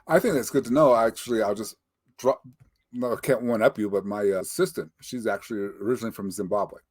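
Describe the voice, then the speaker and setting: A man's voice: fairly low pitch, fast, darkish tone, husky, dry recording. Chatty older male interview host. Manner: chatty, joking, upbeat. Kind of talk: casual interview chat. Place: home office in Denver, Colorado.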